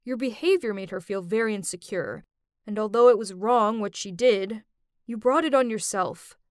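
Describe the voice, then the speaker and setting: A woman's voice: mid-range voice, normal speed, no room sound, studio quality, pitched below the performer's own voice, close to an everyday voice. A woman storyteller voicing an earnest, blunt, easily exasperated college-age man in a dramatic story. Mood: stern, regretful.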